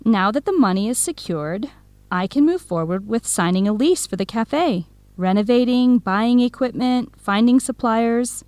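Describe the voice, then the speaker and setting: A young woman playing a supportive, excitable best friend in an educational radio drama, eager, expressive and full of questions. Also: fairly high pitch, a little slow, no room sound. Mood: happy. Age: young adult.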